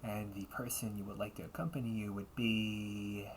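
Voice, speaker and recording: A man's voice: lowish voice, flat delivery, moderate pace, slight background noise, close to an everyday voice, poor audio. A man acting the part of a young butler cafe waiter in an audio roleplay, with formal, courteous service talk.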